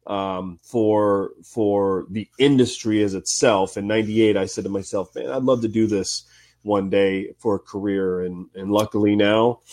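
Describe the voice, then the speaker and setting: A man from Ohio with a cold and a slightly raspy voice, a guest telling stories in a relaxed, chatty way with many fillers. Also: fairly low pitch. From Cincinnati, Ohio, US. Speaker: cigar industry guest. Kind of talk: casual podcast interview.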